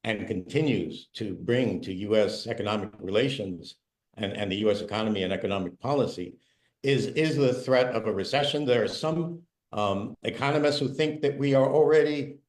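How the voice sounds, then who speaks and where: A man on an online news panel, speaking in a measured, analytical way. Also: fairly low pitch. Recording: echoey room.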